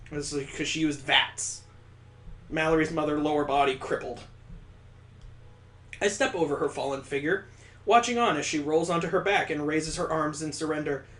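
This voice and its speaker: A man's voice: a little high, echoey room. A man reading a visual novel aloud, mixing dramatic narration with sarcastic comic asides. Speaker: let's play host.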